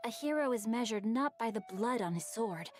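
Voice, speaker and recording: A woman plays a refined teenage swordsman in a video game, bookish and chivalrous. The voice is fairly high and speaks in eloquent, poetic phrases. Music plays clearly behind it. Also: lively intonation, normal speed, lightly acted. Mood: admiring, lofty.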